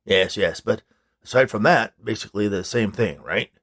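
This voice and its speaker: A man's voice: lowish voice, moderate pace, a bit bright. A man voicing a grumpy elderly misanthrope in a storybook reading, curt and sardonic. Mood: sceptical.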